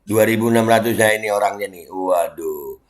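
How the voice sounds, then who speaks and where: A middle-aged man from Indonesia with a Jakarta Betawi accent, reacting to online comments in a mocking, heated, casual way, switching between low muttering and loud indignant outbursts. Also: moderate pace. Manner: mocking, heated, laughs and sighs often. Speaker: commentator reacting to social media posts.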